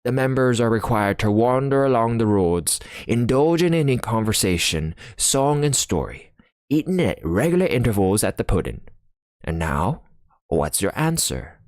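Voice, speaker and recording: Man playing a rough, hearty storybook sailor. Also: mid-range voice, very animated, normal speed, darkish tone, no room sound, clean studio sound, pitched above the performer's own voice, close to an everyday voice. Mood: hearty, speechifying. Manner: salty sailor talk, dropped g's, speechifying. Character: rough, hearty old-fashioned sailor, bossy and chummy.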